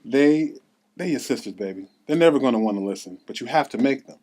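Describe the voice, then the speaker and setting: A man's voice: mid-range voice, lively intonation, fast, a little mellow, natural voice. A man reading the part of a tender, burdened mother who earnestly urges her daughter to lead, at a table read. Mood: tender, urging.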